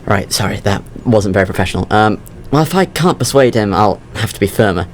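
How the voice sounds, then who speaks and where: A man's voice: lowish voice, lively intonation, a little hiss or hum, natural voice. Actor as a hesitant, nervous young man. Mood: earnest, flustered. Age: young adult. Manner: hesitant, halting, apologetic. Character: nervous, earnest young male job candidate, guilt-ridden. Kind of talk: audio drama acting.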